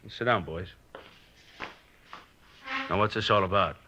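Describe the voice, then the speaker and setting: An actor playing a slick, evasive crooked fence with a glib, slangy manner in a radio crime drama. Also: male voice, sits low, a little slow, noisy background. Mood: breezy. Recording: dull vintage radio recording.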